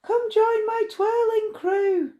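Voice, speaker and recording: A woman's voice: very high-pitched, fairly even intonation, takes it slowly, warm tone, reverberant, dull audio, pitched above the performer's own voice. A woman voicing a spirited, stubborn little girl in an emphatic, pleading way for a storybook. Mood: excited.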